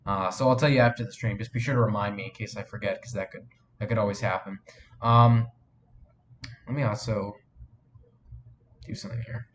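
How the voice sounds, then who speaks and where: A man's voice: lowish voice, steady tone, brisk, pauses often, slight background noise. A sniffly man hosting a casual live stream, rambling with lots of fillers and restarts as he answers viewer chat. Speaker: live streamer and fan film maker.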